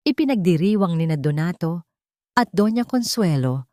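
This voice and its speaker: A woman's voice: on the low side, big pitch swings, normal speed, dark tone, no echo. A woman with a Filipino accent reading a dramatic story as an audiobook narrator.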